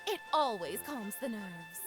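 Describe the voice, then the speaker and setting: A woman voicing a vain, flirtatious, greedy magpie queen in a cartoon adventure game, with theatrical, haughty flattery. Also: medium pitch, measured pace, soft background music. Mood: grand, self-satisfied.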